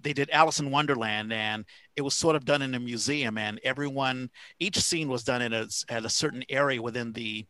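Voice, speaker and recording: A man's voice: medium pitch, fairly quick, few pauses, bright, forceful tone, no room sound, rough recording. An American man co-hosting a podcast interview, rambling conversationally as he thinks his way toward a question. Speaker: podcast co-host.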